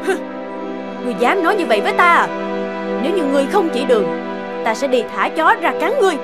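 A woman's voice: high voice, animated, fast. A woman voicing a haughty, spoiled and cruel cartoon queen, imperious and threatening. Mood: furious. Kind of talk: cartoon villain acting. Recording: background music under the voice.

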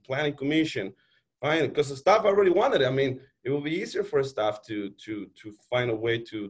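A man in his thirties with a Mexican accent, presenting as a city planning official on a video call, emphatic and full of fillers and repeated words. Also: mid-range voice. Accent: Mexican Spanish. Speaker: city community development director. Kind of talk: conference panel presentation. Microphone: webcam on video call.

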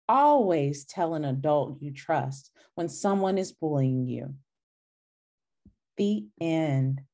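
A young woman with a fairly low, mellow voice leads a storytime for children. She speaks encouragingly and repeats things for young listeners, over a video call from home. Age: young adult. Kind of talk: children's storytime reading and discussion. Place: small indoor room at home. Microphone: video call, laptop microphone.

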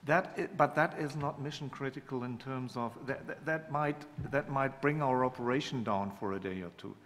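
A man's voice: mid-range voice, normal speed, a bit bright. A middle-aged man speaking on a conference panel, hesitant and repeating words as he searches for them. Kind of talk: panel discussion. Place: large conference hall. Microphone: table microphone. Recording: reverberant hall, faint room noise.

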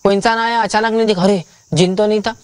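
A man's voice: high voice, fairly even intonation, fast, clean tone, dry recording. A middle-aged man giving a religious lecture, explaining a question through emphatic restatement and repetition on a studio stage. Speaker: Islamic preacher.

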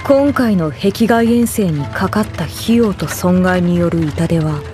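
An actor playing a solemn, impersonal narrator of an anime game's story, formal and measured in delivery. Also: male voice, sits high, animated, darkish tone, faint music behind. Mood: grave. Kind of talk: scripted narration in anime game.

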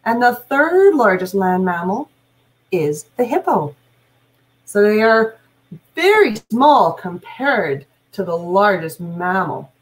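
Slow-paced, lively-pitched woman teacher. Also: poor audio. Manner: deliberate, with questions put to young listeners. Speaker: teacher reading aloud to children. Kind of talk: read-aloud with explanatory asides to children. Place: small room at home, indoors.

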